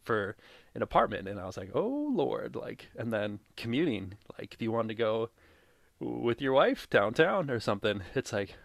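A man's voice: mid-range voice, big pitch swings, moderate pace, vocal fry, dry recording, studio quality. A young man co-hosting a podcast, chatting casually and drifting into tangents with frequent laughs. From Minnesota. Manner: rambling, tangent-prone, laughs often. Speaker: podcast co-host. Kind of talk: casual chat.

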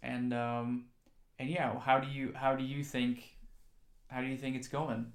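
A man co-hosting a casual podcast, asking questions haltingly with repeated restarts. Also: medium pitch, flat delivery. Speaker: podcast co-host. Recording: echoey room.

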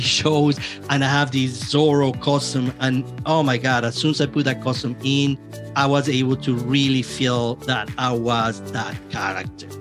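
A man hosting his podcast from his basement, talking in a lively, chatty way with small restarts. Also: mid-range voice. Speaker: podcast host. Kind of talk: enthusiastic podcast introduction and interview. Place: home basement.

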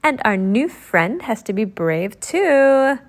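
Woman doing a cheery earnest genie kid explaining stuff, high voice, storybook style, unhurried. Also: very animated, full character voice. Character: cheerful, earnest twin sister girl genie. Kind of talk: children's storybook character voice.

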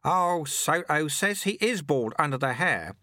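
A little old monk, played by a male narrator, cheerful and modest. His voice sits high and swings freely up and down, with a bright edge. He teases gently in a breezy, wry storybook manner. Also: animated, a little slow, no room sound, clean studio sound. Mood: amiable, amused.

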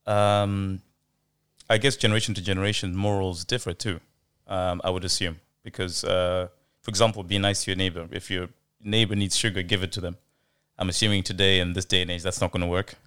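A man with a very deep, smooth voice muses aloud in a casual podcast chat. He hesitates often, with many "um"s and "uh"s, and turns his thoughts into questions for the others. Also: little pitch movement, fairly quick, fairly bright tone. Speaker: podcast co-host.

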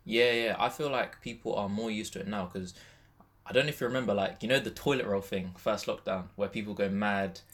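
A man's voice: lowish voice, fairly quick, a bit bright. A man chatting casually as a podcast guest, in an informal, slangy way. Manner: informal, slangy, frequent "like" as filler. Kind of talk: casual chat.

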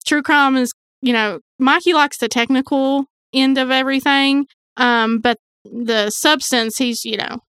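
A woman's voice: high-pitched, fairly even intonation, unhurried, hardly any pauses, a bit bright, clear, resonant tone, no room sound. A woman co-hosting a podcast, chatting casually and informally with wry banter. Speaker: podcast co-host. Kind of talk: casual true crime podcast chat.

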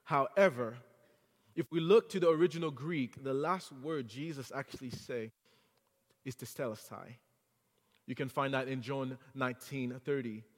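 A man's voice: mid-range voice, normal speed, big-room sound. A man from Haiti with a French accent, preaching an earnest sermon to a congregation that builds to fervent exhortation. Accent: French-accented English. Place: church sanctuary.

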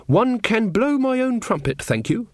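A man's voice: on the high side, very animated, normal speed, a little mellow, slightly rough, no echo, studio quality, voice pushed up. A man voicing a flustered young queen who puts on pompous regal airs in a storybook reading. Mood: haughty. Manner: pompous, speaks of herself as "one".

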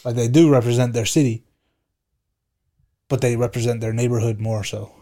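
A man's voice: medium pitch, fairly even intonation, normal speed, dark tone. A young man co-hosting a podcast, chatting casually in a slangy, laid-back way with plenty of swearing. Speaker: podcast co-host. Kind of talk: casual chat.

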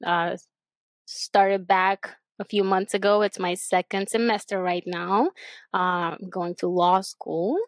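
A woman's voice: medium pitch, flat delivery, takes it slowly, dry recording. A woman from Bulgaria with a Bulgarian accent, co-hosting a casual chat in an upbeat, enthusiastic way. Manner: bubbly, enthusiastic, laughs often. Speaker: podcast co-host, real estate agent.

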